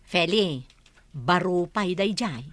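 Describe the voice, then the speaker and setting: A woman's voice: fairly low pitch, animated, slow pace, no echo. A woman playing a teasing, matter-of-fact village shop woman in an Ilocano radio comedy drama, with an Ilocano accent. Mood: teasing, calm.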